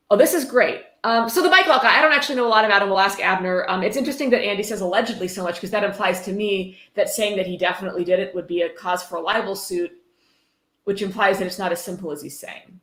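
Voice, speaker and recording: A woman hosts a livestream with a mid-range voice, sounding informal and unfiltered as she chats and reads. The room around her adds a clear echo to everything she says. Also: mid-range voice, a bit bright. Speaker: livestream host. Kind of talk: casual livestream chat and book reading. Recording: roomy, echoing livestream audio.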